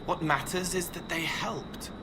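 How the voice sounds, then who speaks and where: An actor playing a gentle, earnest young spirit companion in a video game, speaking softly in cryptic, halting phrases. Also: male voice, a little high, natural voice. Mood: wistful, compassionate. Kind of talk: dramatic video game character acting. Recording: long reverb over noisy ambience.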